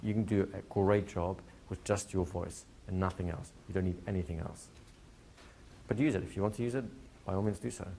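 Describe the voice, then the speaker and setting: A man teaching a hypnotherapy class to a live group, explaining plainly and slipping into slow, soothing hypnotic patter for demonstrations. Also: low voice, steady tone, creaky, mellow, a little hiss or hum. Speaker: hypnosis trainer. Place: training room with a live audience.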